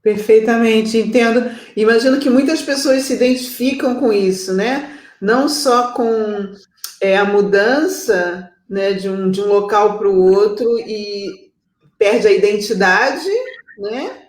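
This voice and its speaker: A woman's voice: mid-range voice, takes it slowly. A woman host, empathetically summing up what her guest said. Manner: empathetic, sums up guest's words. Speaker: host, talk show mediator. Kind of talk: casual chat. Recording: echoey room.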